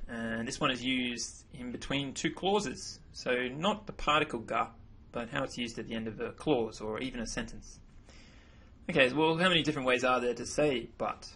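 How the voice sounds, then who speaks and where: A man with a slightly husky, mid-pitched voice talks through a slideshow lesson. His first language is English, and he explains in an easygoing way, often correcting and repeating himself. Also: moderate pace. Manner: relaxed, self-correcting explanations. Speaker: language teacher. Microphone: voice-over.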